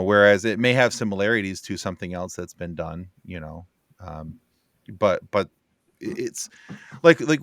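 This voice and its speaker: A man's voice: deep voice, fairly bright tone, no room sound, studio quality. A man in a casual panel chat, musing as he goes and often repeating words. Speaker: podcast panelist.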